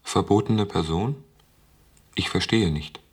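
A lonely thinking machine in a radio drama. The voice is low and speaks haltingly, with deliberate, literal phrasing and childlike puzzlement. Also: male voice, slightly rough, exaggerated voice. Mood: puzzled, literal.

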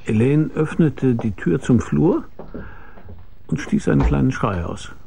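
An actor playing a hard-boiled, world-weary private detective, narrating a radio drama in a dry, sardonic, laconic way. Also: male voice, mid-range voice, lively intonation, measured pace, vocal fry, background noise. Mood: terse narration.

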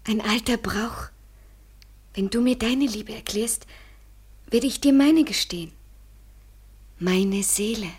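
An actress playing an anguished, submissive woman desperately in love, breathy, in an intense poetic radio play. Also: on the high side, takes it slowly, pauses often, a little mellow. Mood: tender.

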